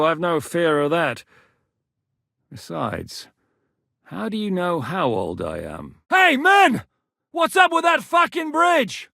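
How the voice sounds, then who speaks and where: An actor playing a battle-hardened medieval rebel commander in a video game, gruff and dry-humoured, with a blunt, commanding, sardonic manner. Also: male voice, high-pitched, sharp tone, no room sound, full character voice. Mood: wry, resolute, then urgent battle shouting. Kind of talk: dramatic video game character acting.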